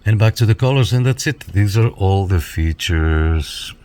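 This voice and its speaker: A man's voice: sits low, expressive, measured pace, a little mellow. A man reviewing a piece of equipment, casually talking viewers through it step by step in an instructional way. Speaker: radio reviewer giving a demonstration. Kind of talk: casual hands-on product walkthrough.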